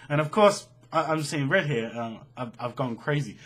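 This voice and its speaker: A young British man with a slightly husky voice, telling a personal story casually in an animated, sweary, self-mocking way. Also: on the high side, moderate pace. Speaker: online storyteller.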